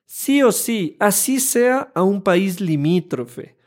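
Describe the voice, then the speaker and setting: A man in his thirties from Ecuador with an Ecuadorian accent, co-hosting a casual podcast in a studio and acting out the people he quotes. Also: high-pitched. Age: 30s. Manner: animated, acts out quoted people, slows to stress points. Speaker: podcast co-host. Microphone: studio microphone.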